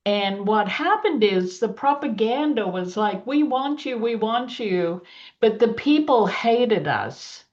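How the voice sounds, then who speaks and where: Slow older woman. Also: a little high, animated, a little mellow. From Australia. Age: 70s. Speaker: panelist. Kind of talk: personal storytelling on a panel. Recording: dull lo-fi recording.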